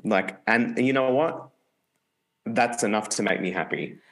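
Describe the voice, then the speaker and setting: A man's voice: on the low side, unhurried, fairly bright tone. A man co-hosting a podcast, talking casually and earnestly with lots of "like" fillers. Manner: rambling, frequent "like" fillers, open and earnest. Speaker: podcast co-host. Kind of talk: casual conversation.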